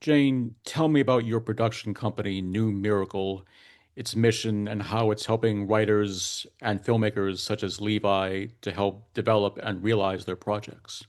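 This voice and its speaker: A man with a deep-pitched voice hosts an interview podcast. He delivers prepared questions in formal, carefully measured wording. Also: fairly even intonation, a little mellow.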